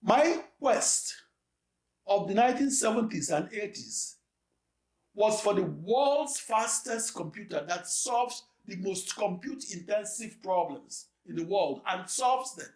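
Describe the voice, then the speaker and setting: A man delivering a scripted lecture in a slow, measured, emphatic way. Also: high-pitched, expressive, a little mellow, reverberant.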